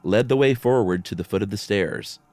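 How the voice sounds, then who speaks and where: A man's voice: on the low side, brisk, a little mellow, no echo. A man co-hosting a podcast, moving between casual banter and dramatic storytelling. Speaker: podcast co-host and story narrator.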